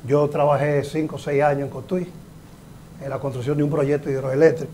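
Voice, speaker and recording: A man's voice: fairly high pitch, flat delivery, a little fast, dark tone, slight background noise. Emphatic man explaining technical points. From Dominican Republic. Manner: explanatory, emphatic, gestures with hands. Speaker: hydraulic engineer guest. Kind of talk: TV studio interview. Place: TV studio. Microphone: lapel mic.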